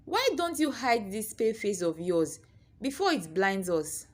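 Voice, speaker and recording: A woman's voice: mid-range voice, big pitch swings, unhurried. Woman playing a vain, mocking young village beauty. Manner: mocking, haughty.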